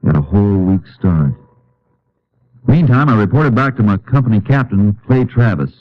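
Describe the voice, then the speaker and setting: A man acting a determined Texas Ranger from an old American radio drama. He is low-voiced and understated, with dry, folksy delivery that moves freely up and down. The sound is dull, vintage radio. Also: lively intonation, moderate pace, close to an everyday voice. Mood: grave, determined. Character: laconic, determined Texas Ranger investigator, narrating his case. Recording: muffled old-time radio recording.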